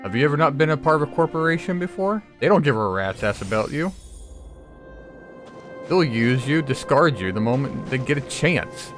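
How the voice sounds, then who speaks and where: A man's voice: fairly high pitch, very animated, moderate pace, fairly bright tone, faint music behind. A man giving casual, opinionated and blunt game playthrough commentary, with swearing. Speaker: gaming streamer.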